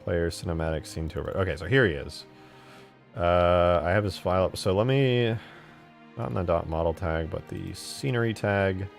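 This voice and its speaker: A man's voice: deep voice, normal speed, creaky, soft background music. A man live streaming while he works, casually thinking aloud and muttering questions to himself. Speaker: game modding live streamer.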